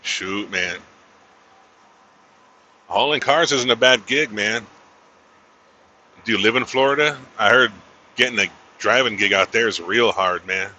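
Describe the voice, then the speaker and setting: Laid-back trucker man, bright voice. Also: on the low side, measured pace, long pauses, a little hiss or hum. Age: 30s. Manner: laid-back, drawling, peppers talk with "man" and "shoot". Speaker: truck driver streaming live. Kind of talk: casual livestream chat while driving. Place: inside a truck cab on the road.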